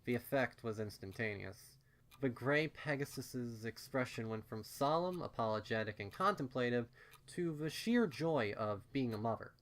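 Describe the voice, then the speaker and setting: A man's voice: medium pitch, animated, moderate pace. A man reading fan fiction aloud as scripted story narration.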